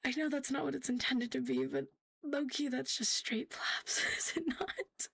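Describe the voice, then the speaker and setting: A woman chatting casually to viewers on an ASMR livestream, soft and breathy with a playful, cutesy manner, often dropping to a whisper close to the mic. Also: high voice, sing-song, normal speed, no echo. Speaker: ASMR live streamer hosting in a virtual world. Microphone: close, sensitive streaming microphone.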